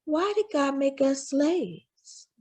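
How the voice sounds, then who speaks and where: A woman's voice: high voice, animated, slow pace, darkish tone, pitched above the performer's own voice. A woman voicing a curious, tender young boy who asks earnest questions in a children's storybook read-aloud. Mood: curious, hushed.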